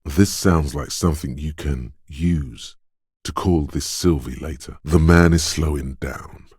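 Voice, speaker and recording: An extremely deep-voiced quick-witted inner voice of a detective's instincts in a video game. He narrates in the second person, knowing and measured, with a dramatic touch. The sound is very close and dry. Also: darkish tone, breathy edge, no room sound, exaggerated voice. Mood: observant, knowing. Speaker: voice actor.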